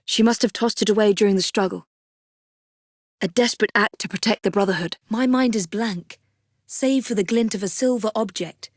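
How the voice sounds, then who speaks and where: An actress playing a young Victorian assassin in a video game, with an English accent and crisp, formal, dryly composed delivery. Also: female voice, medium pitch, no room sound, lightly acted. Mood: weary, subdued. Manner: crisp, articulate, formal, dry. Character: determined, sharp-witted young female Victorian assassin, composed and dry.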